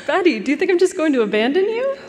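A woman's voice: very high-pitched, big pitch swings, quick pace, a little mellow. A woman playing a caring, devoted young heroine in a live radio drama. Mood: tender, reassuring. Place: performance hall stage. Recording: reverberant concert hall stage.

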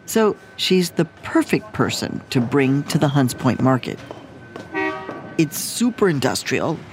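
A woman's voice: a bass voice, sing-song, a little slow, noisy background. Woman narrating a radio story. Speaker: radio host. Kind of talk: scripted narration and field interviewing. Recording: narration with music underneath.

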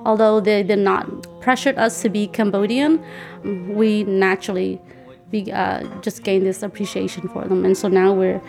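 A woman's voice: mid-range voice, steady tone, normal speed, vocal fry, a little mellow, faint music behind. A woman in her forties chatting casually in a kitchen as she cooks, laughing often and explaining each ingredient. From United States. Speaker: guest cooking in a recorded segment. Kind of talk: casual chat while cooking. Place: home kitchen.

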